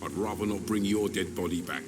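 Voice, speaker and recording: A man playing a gruff, cynical ambulance driver and gun smuggler in a video game, blunt and bitter. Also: deep voice, fairly quick, music in the background, lightly acted. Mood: bitter, weary. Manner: blunt, foul-mouthed, bitter. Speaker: video game character actor. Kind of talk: video game dialogue acting.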